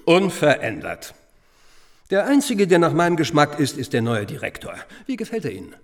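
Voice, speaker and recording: Sardonic, gossipy schoolteacher. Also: male voice, fairly high pitch, huge pitch swings, moderate pace. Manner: ironic, chatty, conspiratorial. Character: gossipy, sardonic schoolteacher, cynical confirmed bachelor. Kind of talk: conversational radio drama acting.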